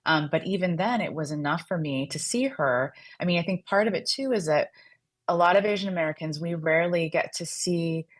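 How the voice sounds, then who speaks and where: A woman's voice: lowish voice, brisk. A woman author being interviewed on radio, explaining her subject fluently and adding a questioning "right?" to her points. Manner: fluent and explanatory, often adds a tag "right?". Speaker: author and guest.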